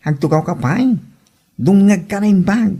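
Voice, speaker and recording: A woman's voice: medium pitch, expressive, normal speed, dark tone, slightly rough. A woman with an Ilocano Filipino accent playing a nosy, blunt gossiping friend in a comedy audio drama. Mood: gossipy, insistent.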